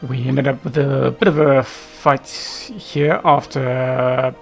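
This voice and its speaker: A man's voice: mid-range voice, steady tone, slow pace, creaky, faint music behind. A man giving casual, unscripted gameplay commentary in a hesitant, rambling way, often trailing off. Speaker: gaming video host.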